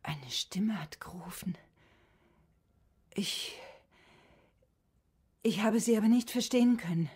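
An actress playing a troubled, fragile patient in a radio drama, recalling nightmares in a halting, hushed, breathy way. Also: female voice, mid-range voice. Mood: haunted, fearful. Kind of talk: German radio drama acting.

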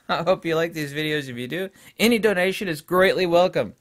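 A middle-aged man hosting a casual book-recommendation talk from his home studio desk, emphatic and opinionated, his voice a little deep from allergies, on a lapel mic. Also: brisk. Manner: emphatic and opinionated, trails off with fillers. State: voice deepened by allergies. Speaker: book reviewer and channel host.

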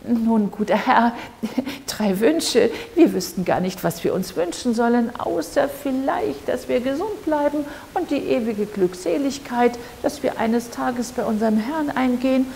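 A woman voicing a kindly, humble, pious old man in a fairy tale, gentle and deferential. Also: fairly high pitch, lively intonation, measured pace, vocal fry, slight background noise. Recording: echoey hall with long reverb.

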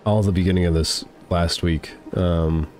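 A man's voice: low-pitched, steady tone, normal speed, darkish tone, soft background music. A man in his thirties with a stuffy nose from a cold, chatting enthusiastically while playing a game at home on a headset. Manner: enthusiastic, chatty, reads choices aloud. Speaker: let's play streamer. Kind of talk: casual gameplay commentary. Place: home room.

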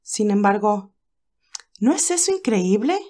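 A woman voicing a cheerful but anxious young nurse who talks in a lively, hesitant way. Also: medium pitch, a little slow. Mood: worried.

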